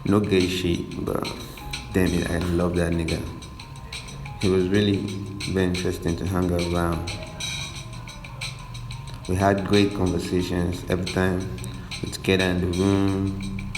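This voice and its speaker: A man's voice: sits low, little pitch movement, unhurried, pauses often, music in the background, poor audio. A young Nigerian man hosting a podcast, telling his own life story in a loose, slangy, reflective way. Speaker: podcast host. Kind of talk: personal storytelling monologue.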